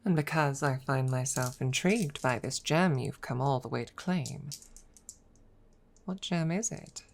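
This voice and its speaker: A woman playing a proud, teasing dragon rival in a soft, measured ASMR audio roleplay, at times dropping toward a whisper. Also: very deep voice, slight background noise. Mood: haughty, intrigued. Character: proud, teasing dragon rival hiding affection, hoarder of treasure.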